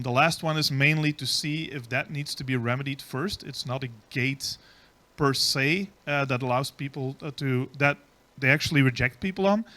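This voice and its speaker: A man presents at a conference, speaking at an average pitch. He explains patiently, with frequent filler ums between his points. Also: pushed and bright. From Belgium. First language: Dutch. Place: conference stage.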